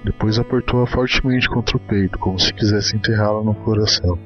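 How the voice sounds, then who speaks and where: A man's voice: on the low side, steady tone, fairly quick. A man narrating a short story as a scripted dramatic reading. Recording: dull lo-fi audio with music underneath.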